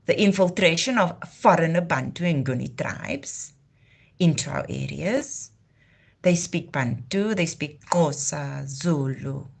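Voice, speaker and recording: A woman's voice: deep voice, slow pace, vocal fry. A woman from Namibia, hosting a live talk in a passionate, declamatory way. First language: Afrikaans. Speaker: livestream host. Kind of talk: impassioned heritage talk.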